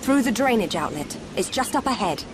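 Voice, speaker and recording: Serious, high-voiced young game heroine. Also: female voice, very animated, fairly quick, noisy background. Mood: purposeful. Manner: earnest, serious. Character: determined, serious young woman from besieged colony. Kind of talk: video game cutscene voice acting. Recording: loud music over the dialogue.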